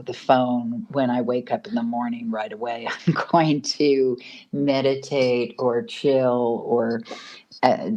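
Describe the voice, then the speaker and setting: Very low-voiced, slow-speaking woman astrologer. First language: not Spanish (lives in Mexico). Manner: unhurried and rambling, with restarts and soft laughs. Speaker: astrologer giving a weekly forecast. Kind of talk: casual spoken forecast.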